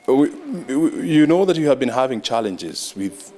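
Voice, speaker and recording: Measured man hosting TV interview. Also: medium pitch, big pitch swings, warm tone. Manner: measured, probing questions. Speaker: TV interview host. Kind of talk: broadcast interview.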